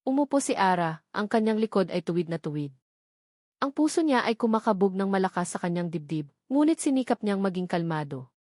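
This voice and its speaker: A woman with a Filipino accent narrates a dramatic story. Her voice sits at a middle pitch for a woman. She reads from a script as a storyteller, and the recording is dry, with hardly any room sound. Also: lively intonation, brisk, a little mellow, no echo.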